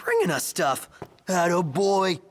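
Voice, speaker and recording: An actor playing an excitable, chatty young man, a boyish sidekick in a video game. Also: high-pitched, unhurried, heavily acted. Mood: cheerful. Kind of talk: video game cutscene acting.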